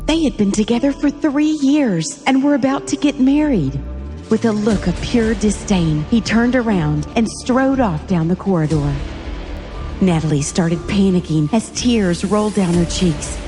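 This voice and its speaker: Mellow woman narrating a dramatic story. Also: medium pitch, expressive, measured pace, soft background music. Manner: suspenseful, dramatic phrasing.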